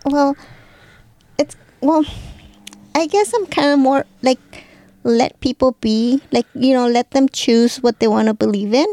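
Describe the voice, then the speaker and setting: A woman's voice: high voice, a little slow, pauses often, warm tone, no echo. A woman in her forties co-hosting a podcast, talking hesitantly with lots of fillers and easy laughs. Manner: hesitant, full of "like" and "you know", laughs easily. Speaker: podcast co-host. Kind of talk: casual chat.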